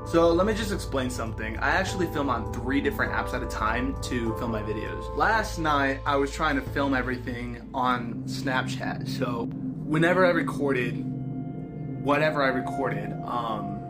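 A young man talks straight to his followers in a casual phone video. His mid-pitched voice rambles informally, and loud music plays heavily behind him. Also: expressive, normal speed. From Wyoming, United States. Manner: informal, filler-heavy, addresses viewers directly. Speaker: social media video creator. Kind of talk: casual selfie-video storytelling.

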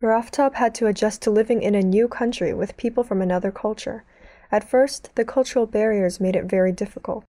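A woman narrates a documentary in an even, measured way. Her tone is mellow and warm. The recording is dry, with no room sound. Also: medium pitch, no echo.

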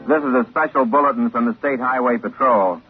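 An actor playing a sober highway patrol announcer reading formal bulletins in a 1950s radio drama, with a husky voice. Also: male voice, brisk, faint music behind, lightly acted. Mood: official, grave. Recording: thin, tinny 1950s radio recording.

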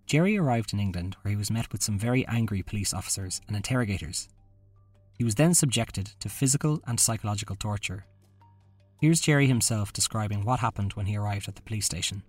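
A man's voice: on the low side, expressive, fast, a little mellow, dry recording, soft background music. A man hosting a podcast, reading a scripted true-crime narration. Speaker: podcast host.

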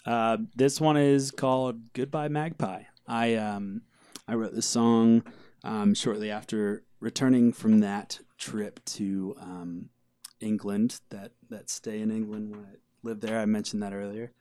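A man's voice: lowish voice, steady tone, measured pace, mellow, clean tone, no echo, clean studio sound. A man chatting casually and a little hesitantly in an interview as a band's singer-songwriter.

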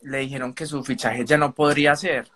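A man's voice: medium pitch, steady tone, brisk, bright, forceful tone. Colombian man explaining things in casual conversation. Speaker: caller on a live stream. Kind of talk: casual spoken account.

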